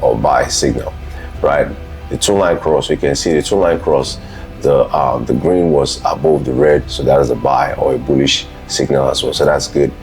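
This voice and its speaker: Very deep-voiced man explaining step by step. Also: a little mellow. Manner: unscripted, methodical, repeats key points. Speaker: host, trading tutor. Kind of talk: instructional screen walkthrough, explaining charts.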